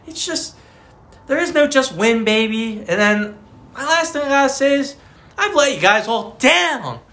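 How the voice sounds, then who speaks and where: A man's voice: very high voice, expressive, a little slow, sharp tone. A man co-hosting a casual fantasy football podcast, joking and trash-talking in slangy banter. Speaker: podcast co-host.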